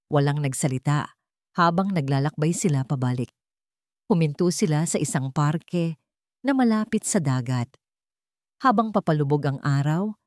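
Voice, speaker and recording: A woman's voice: lowish voice, a little mellow, dry recording, studio quality. A woman reading a scripted dramatic love story as narrator.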